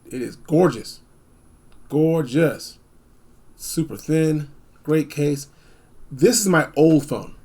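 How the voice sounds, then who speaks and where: A man hosting a casual, unscripted gadget review, talking in an animated, emphatic way and repeating phrases for effect. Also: medium pitch. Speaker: product reviewer hosting a video.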